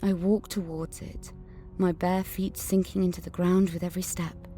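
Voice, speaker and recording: A woman's voice: on the low side, level tone, normal speed, mellow, faint music behind, lightly acted. A woman playing an angry, suspicious teenage schoolgirl in an audio drama, earnestly narrating her memories and visions and speaking in dialogue. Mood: haunted, measured.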